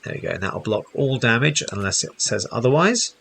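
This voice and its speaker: A man's voice: medium pitch, big pitch swings, brisk, vocal fry, a bit bright, slight background noise. A man hosting a casual game tutorial, explaining each step as he plays. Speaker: host, gaming teacher. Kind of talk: casual tutorial commentary over gameplay.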